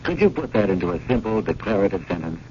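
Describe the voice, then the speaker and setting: Terse, deep-voiced older brother. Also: male voice, very animated, fast. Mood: dry, guarded. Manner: terse, dry, noncommittal. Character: dry, guarded older brother, a museum art curator. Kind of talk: radio drama acting. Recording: noisy, dull old radio recording.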